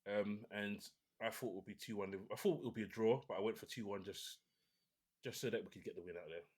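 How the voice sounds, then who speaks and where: A man hosting a casual football podcast, talking in a relaxed, opinionated way with many restarts. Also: fairly low pitch, fast talker, slightly rough. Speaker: podcast host.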